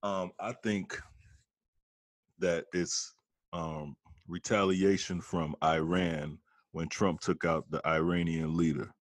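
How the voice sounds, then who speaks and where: A man's voice: low voice, fairly even intonation, slow pace, dry recording. A man co-hosting a podcast, asking questions and giving blunt opinions in casual chat.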